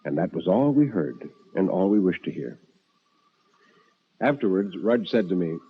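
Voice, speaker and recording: An actor playing a frightened, haunted man who tells a ghost story in a theatrical, halting way, like old radio horror. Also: sits low, big pitch swings, slightly rough, narrow, tinny audio, heavily acted. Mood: subdued. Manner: theatrical, halting with stammered repeats. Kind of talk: dramatic old-time radio horror narration.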